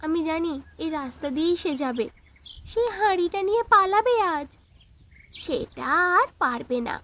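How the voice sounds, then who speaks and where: A woman's voice: extremely high pitch, animated, unhurried, a bit bright, a little hiss or hum, full character voice. A woman voicing a brave, earnest village girl in a fairy-tale cartoon, reassuring and resolute. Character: brave, determined village girl who hunts a thief.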